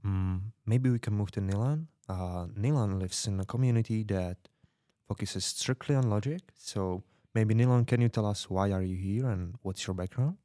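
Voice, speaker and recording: A man's voice: lowish voice, normal speed, mellow, no echo, clean studio sound, close to an everyday voice. A man playing a welcoming podcast host, speaking politely and reassuringly with some hesitation. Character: welcoming male radio host of a misfit refuge in 2050. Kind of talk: fiction podcast interview, semi-improvised.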